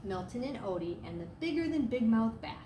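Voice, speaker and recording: A woman reading a children's storybook aloud as a storyteller, with expressive, dramatic emphasis. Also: on the high side, brisk. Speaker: staff storyteller. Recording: roomy echo, clear background noise.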